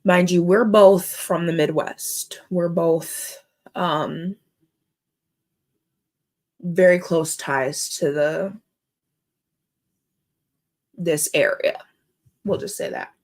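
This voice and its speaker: Slow, gravelly-voiced emotional woman. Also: on the low side, fairly even intonation, darkish tone. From Minnesota, USA. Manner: emotional, halting, earnest. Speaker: host. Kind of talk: emotional personal commentary vlog.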